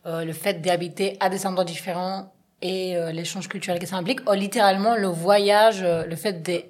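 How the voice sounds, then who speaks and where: A woman's voice: lowish voice, fairly even intonation, fairly quick. Argentine woman, thoughtful and searching. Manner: searching, restarts her phrases. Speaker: interpreter. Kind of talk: casual interview answers.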